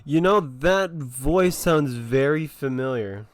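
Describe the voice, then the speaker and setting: A man giving casual, halting gameplay commentary, often repeating himself. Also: fairly high pitch, expressive. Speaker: video game streamer.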